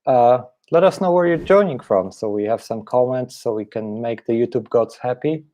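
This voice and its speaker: A man's voice: medium pitch, normal speed, mellow. A man hosting a weekly company live stream, giving an informal, easygoing introduction.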